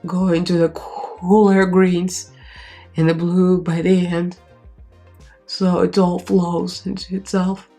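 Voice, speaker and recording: A woman's voice: lowish voice, flat delivery, slow, mellow, soft background music. A woman with a stuffy, nasal voice from a cold, chatting casually as she walks through a makeup tutorial. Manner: chatty, rambling with fillers, talks while applying makeup. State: congested, nasal, strained voice from a cold. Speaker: beauty vlogger.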